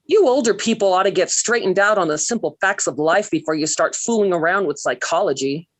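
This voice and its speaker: A woman's voice: fairly low pitch, lively intonation, a little fast, no echo. An actress playing an earnest, emphatic American teenage girl in love, in 1940s radio sitcom style. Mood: indignant. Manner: gushing or indignant, emphatic.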